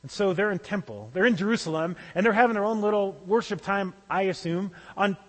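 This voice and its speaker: A man's voice: high voice, expressive, brisk, in a large hall. A man preaching a sermon as pastor, talking in a conversational way with small restarts.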